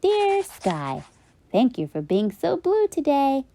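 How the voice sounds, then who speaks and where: A woman's voice: high-pitched, huge pitch swings, slow pace, mellow, full character voice. A woman voicing a cheerful little girl reading her thank you letters in a children's storybook, with a sing-song manner. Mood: cheerful, grateful. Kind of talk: children's storybook read-aloud.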